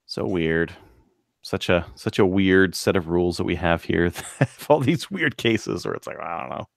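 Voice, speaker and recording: A man's voice: low voice, moderate pace, creaky, no room sound, studio quality. A man with a Canadian accent co-hosting a casual podcast discussion, explaining things in a rambling, joking way. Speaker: podcast co-host.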